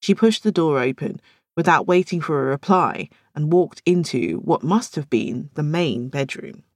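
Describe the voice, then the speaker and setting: A woman's voice: sits low, expressive, moderate pace, no echo, clean studio sound. A woman reading scripted fiction as an audiobook narrator.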